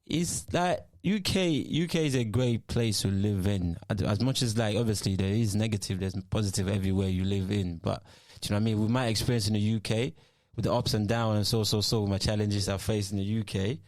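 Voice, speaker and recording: A man talks in a low voice, picking his words slowly with lots of "um" and "you know what I mean." The sound is close and dry, with no room around it. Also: a little mellow, no echo. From Nigeria. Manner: hesitant, many fillers and restarts. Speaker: musician guest. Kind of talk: casual interview.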